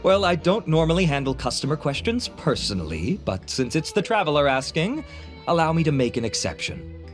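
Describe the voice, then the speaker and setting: A man's voice: a little high, very animated, a little fast, soft background music. A man playing a courteous, vain beauty-product researcher in a video game, speaking in a polished, formal, self-assured way. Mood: polite, assured.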